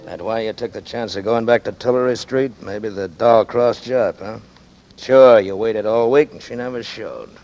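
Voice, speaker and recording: A man's voice: fairly low pitch, moderate pace, over music, natural voice. An actor playing a cool gangster who holds a man at gunpoint, drawling mockingly friendly threats in a 1940s radio drama. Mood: menacing. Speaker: gunman. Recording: dull lo-fi old radio broadcast.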